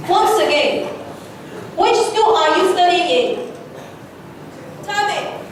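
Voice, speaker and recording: A woman's voice: very high voice, lively intonation, slow. A woman teacher sternly addressing students at a school assembly, scolding them with pointed questions. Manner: stern, admonishing, rhetorical questions. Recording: very echoey hall, distant, noisy.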